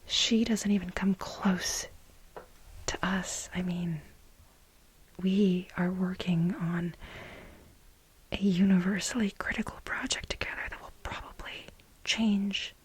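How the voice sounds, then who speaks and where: A woman playing an infatuated, jealous young research assistant to her boss in an ASMR role play, breathy, halting and gushing. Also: mid-range voice. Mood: catty, jealous. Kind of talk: soft-spoken whispered ASMR role play.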